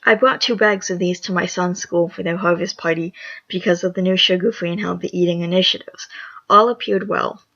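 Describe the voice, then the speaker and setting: Brief: hoarse, gravelly vlogger reading reviews aloud, mid pitch, some stumbles, dull lo-fi mic. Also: steady tone, brisk, pushed and bright. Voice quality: raspy. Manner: reads with small stumbles and self-corrections. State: hoarse after just waking up. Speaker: channel host.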